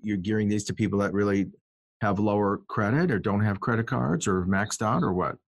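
A man co-hosting an interview, asking questions with hesitant pauses. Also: on the low side, dry recording. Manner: hesitant, fills with uh. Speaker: co-host.

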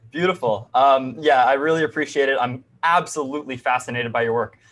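A man hosting a podcast interview, relaxed and welcoming, often answering with a short word of approval. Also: mid-range voice, normal speed. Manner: warm welcoming, says "beautiful" often. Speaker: podcast host. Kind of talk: casual interview.

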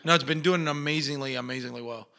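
A young adult man whose first language is Spanish, speaking English with an Argentine accent. His voice is bright and forward at a middle pitch. He tells stories in a loose, animated way and acts out the voices of the people he quotes. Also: quick pace, creaky. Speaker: actor guest. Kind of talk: casual interview storytelling.

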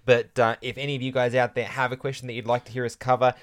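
An Australian man hosting a faith podcast, talking in an earnest, measured way. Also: medium pitch, level tone. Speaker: podcast host. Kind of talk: casual chat and podcast outro. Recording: music bed under the voice. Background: music.

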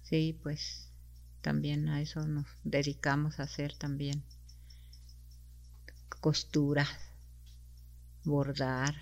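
Slow, low-voiced woman from Mexico. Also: vocal fry, highs cut off. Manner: soft, hesitant, many fillers. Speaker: interview guest. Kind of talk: casual interview answers.

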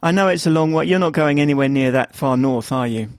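A man's voice: fairly high pitch, fast talker, no room sound, clean studio sound. A man hosting an interview podcast, asking questions in a rambling, casual way with many restarts. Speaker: podcast host.

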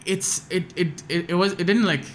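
A man's voice: high voice, moderate pace. Hesitant young man with American accent. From Pakistan. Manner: hesitant, restarts often, many fillers. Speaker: guest musician. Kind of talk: casual podcast interview.

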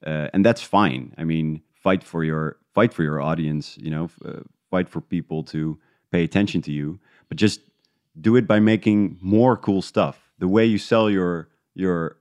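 A man talking casually and reflectively as an interview guest, feeling his way through thoughts with halting restarts. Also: deep voice, a little mellow, no echo, studio quality. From Netherlands. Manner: halting, thinking aloud in restarts. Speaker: interview guest, independent podcast creator.

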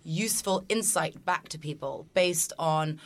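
A woman's voice: deep voice, a little slow. A woman on a panel making a businesslike, earnest point.

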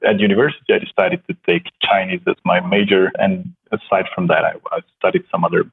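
A man with a Slovenian accent, a startup co-founder chatting in a soft-spoken, halting way with many restarts. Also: low voice, big pitch swings, vocal fry, slightly rough. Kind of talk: casual conversation. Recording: tinny phone-like line.

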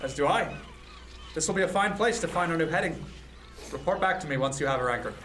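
A man's voice: fairly high pitch, expressive, fast, fairly bright tone, a little hiss or hum. A man playing a melancholy, commanding ship's captain in his twenties in a period seafaring audio drama.

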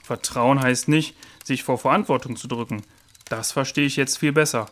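A man's voice: medium pitch, steady tone, normal speed, a bit bright, lightly acted. A man playing an earnest, responsible member of an adventure crew in a children's audio drama, speaking decisively.

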